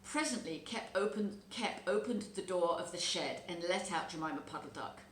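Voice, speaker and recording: Female storybook reader, slightly low voice, very expressive intonation, some background noise. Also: moderate pace. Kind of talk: expressive children's story narration. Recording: roomy echo, background noise.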